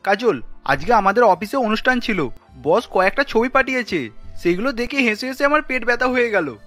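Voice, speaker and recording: A man voicing a young Bengali husband in a family cartoon, easygoing and colloquial, quick to protest. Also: very high-pitched, expressive, fairly bright tone, faint music behind, heavily acted. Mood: amused. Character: young Bengali husband, easygoing office worker, easily exasperated.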